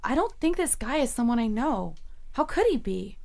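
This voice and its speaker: A woman playing a haunted, frightened young student in an audio drama, earnest and halting as she pleads. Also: fairly high pitch, sing-song, gravelly, a little mellow. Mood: troubled, insistent. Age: young adult.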